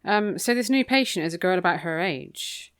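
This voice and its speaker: Low, smooth-voiced woman chatting casually. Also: animated, moderate pace. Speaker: podcast co-host. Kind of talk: casual book recap chat.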